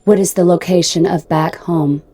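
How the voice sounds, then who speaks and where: A woman playing a polite, literal-minded spaceship computer in an audio drama, speaking in a formal, measured, impassive way. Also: lowish voice, steady tone, mellow, natural voice. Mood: literal, detached. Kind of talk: radio drama dialogue.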